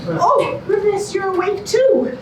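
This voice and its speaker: Woman acting a timid, very high-pitched contestant. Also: takes it slowly, exaggerated voice. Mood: hurt, worried. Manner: hesitant, guileless. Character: timid, sweet, insecure female contestant. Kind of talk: stage comedy acting. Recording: distant echoey stage recording, clear noise.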